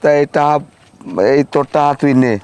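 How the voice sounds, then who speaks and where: A man's voice: a little high, little pitch movement, normal speed, darkish tone, clear, resonant tone, lightly acted. A man playing a kindly, honest village uncle in a drama, speaking colloquially with a Barisal accent from southern Bangladesh. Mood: earnest. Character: kindly, honest rural uncle, firm about repaying debt.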